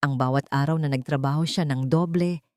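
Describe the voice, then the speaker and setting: Female narrator, low register, dry close sound, measured melodramatic delivery for serialized romance stories. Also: fairly even intonation, a little mellow, no echo. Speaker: story narrator. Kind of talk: scripted dramatic romance story narration.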